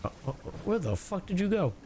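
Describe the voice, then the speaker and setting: A man's voice: high voice, sing-song, brisk, music in the background. A man streaming a game, chatting casually with his viewers, joking around and swearing freely. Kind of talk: casual livestream commentary.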